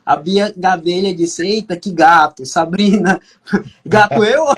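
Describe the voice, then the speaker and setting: Brief: man, raised pitch, husky tone, halting live-stream host. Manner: hesitant, many fillers. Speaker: podcast host. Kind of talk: casual live podcast hosting.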